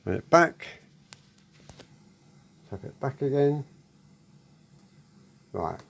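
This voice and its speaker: Guy explaining some audio gear casually, mid pitch, repeats himself, keeps checking you follow. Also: sing-song, very slow, vocal fry, slight background noise. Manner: explanatory, repeats points and checks with tag questions. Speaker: presenter demonstrating an audio equipment fault. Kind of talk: casual spoken demonstration.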